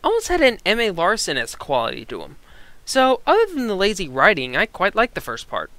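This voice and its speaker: A man co-hosting a review in a conversational but scripted style, with an animated, emphatic manner. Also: high voice, fairly quick, no room sound. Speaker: co-host reviewer.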